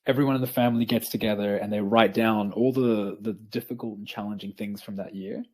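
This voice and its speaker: A man interviewing a guest in a friendly, casual way, pausing often with ums and restarts. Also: on the low side, fairly even intonation, a little mellow, slight background noise. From Peru, raised in Australia.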